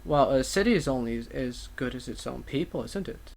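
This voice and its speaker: A man's voice: medium pitch, animated, brisk, vocal fry. A man giving casual political commentary as a talk show host, with wordy, somewhat formal turns of phrase.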